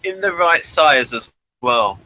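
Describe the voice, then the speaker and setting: Bright, forceful man hosting a chat. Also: a little high, animated, slow pace, rough recording. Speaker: podcast host. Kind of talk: casual chat review.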